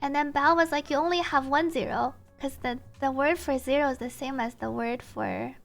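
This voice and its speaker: A woman's voice: sits high, steady tone, a little fast, gravelly. A woman streamer giving casual reaction commentary on game cutscenes, with music playing behind her. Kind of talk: casual reaction commentary mixed with reading game dialogue aloud.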